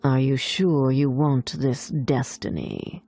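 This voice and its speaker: A woman voicing a wicked, menacing old sea witch in a storybook, with slow, drawn-out, sinister delivery. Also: very deep voice, warm tone, faint music behind, pitched below the performer's own voice, exaggerated voice. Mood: sinister, warning.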